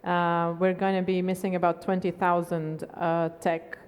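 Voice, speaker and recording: Low-pitched woman moderating in an echoing hall. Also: flat delivery, measured pace, darkish tone, clean tone. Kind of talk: conversational conference moderating. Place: large conference stage. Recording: reverberant conference hall.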